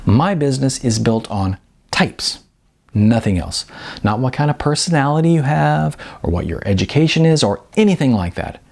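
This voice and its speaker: A man voicing a blunt, brisk female modeling agent in an audiobook, emphatic and patronizing, with chatty endearments. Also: mid-range voice, lively intonation, vocal fry, a little mellow. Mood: matter-of-fact, emphatic. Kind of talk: audiobook character dialogue.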